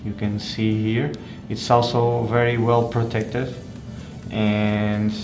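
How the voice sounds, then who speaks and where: A man giving an unscripted hands-on product review in a halting, hesitant way, often pausing and repeating words. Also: on the low side, little pitch movement, reverberant, noisy background. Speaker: tech reviewer presenting a phone.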